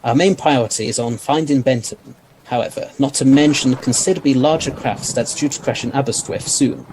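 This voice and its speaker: A man playing a brisk, authoritative scientist adventurer in an audio drama. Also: medium pitch, darkish tone, a little hiss or hum, natural voice, poor audio. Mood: grave, focused.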